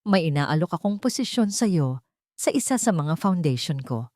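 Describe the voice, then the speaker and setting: A woman voicing an earnest, gentle young wealthy man, remorseful and in love, in an audiobook drama. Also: low-pitched, very animated, moderate pace, no echo, studio quality. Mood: earnest, reassuring.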